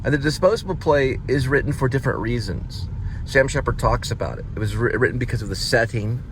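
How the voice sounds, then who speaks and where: A man's voice: medium pitch, lively intonation, fairly quick, noisy background. A man from the United States giving a rambling, informal vlog monologue in a conversational way, often checking in with the listener. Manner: conversational, checks in with "right?" and "you know". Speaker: vlogger, playwright and actor.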